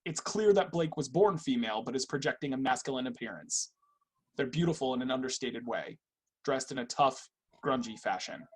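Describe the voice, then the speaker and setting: A man's voice: mid-range voice, animated, brisk, husky. A man reading scripted stage directions for a play reading.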